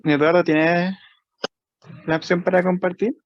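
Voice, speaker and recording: A man moderating a video call, asking quick, informal questions about screen sharing. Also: high voice, little pitch movement, vocal fry, no echo, muffled recording. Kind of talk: casual chat.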